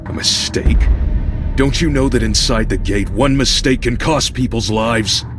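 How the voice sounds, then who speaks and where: An actor playing a composed but secretly scheming strike squad leader in a game, speaking with measured authority. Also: male voice, mid-range voice, close to an everyday voice. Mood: stern, alarmed. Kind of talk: video game cutscene acting. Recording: loud music under dialogue.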